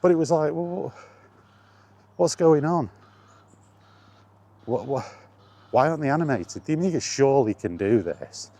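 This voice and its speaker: A man's voice: fairly high pitch, lively intonation, unhurried, gravelly, long pauses, mellow. A man talking in a casual, rambling monologue, reminiscing and thinking aloud as he goes. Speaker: host of a gaming chat vlog.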